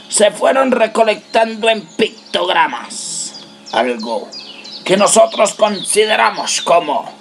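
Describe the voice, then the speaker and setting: A man playing a solemn explorer who narrates prehistory deliberately in a scripted radio drama. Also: high-pitched, creaky, fairly bright tone, slight background noise, full character voice.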